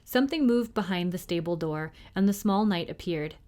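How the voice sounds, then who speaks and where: A woman's voice: on the low side, lively intonation, moderate pace, dark tone. A woman reading a children's novel aloud as narrator for a library storytime.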